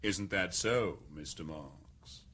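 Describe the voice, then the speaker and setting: Wry, husky British controller. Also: male voice, low-pitched, animated, a bit bright, exaggerated voice. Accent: British English. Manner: measured, wry, condescending. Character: urbane, powerful male world controller, amused. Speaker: world controller. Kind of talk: 1990s radio drama.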